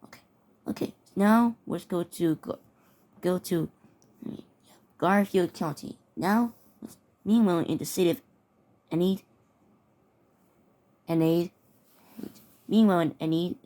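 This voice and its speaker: A woman's voice: fairly low pitch, a little slow, pauses often, mellow. A woman reading mock severe weather warnings aloud with her own commentary, often stumbling over and repeating words. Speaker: mock emergency alert narrator.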